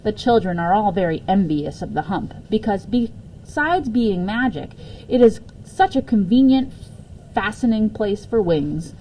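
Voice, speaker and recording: A woman reading a children's book aloud as a storyteller, expressively and deliberately, with occasional stumbles and self-corrections. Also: mid-range voice, animated, warm tone, a little hiss or hum, rough recording. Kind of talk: read-aloud children's story with casual asides.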